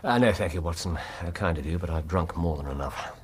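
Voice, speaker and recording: An actor playing a bored, brooding detective with sardonic wit in a radio drama, speaking languid, precise English in a theatrical way. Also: male voice, low-pitched, lively intonation, a little fast, slight background noise. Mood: guarded, weary.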